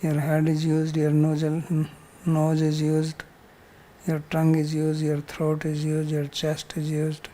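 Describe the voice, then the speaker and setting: Indian guy teaching scripture, soft dark voice, pretty monotone, explains stuff simply and repeats it. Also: a little high. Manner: didactic, repeats points, uses simple examples. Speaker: spiritual teacher.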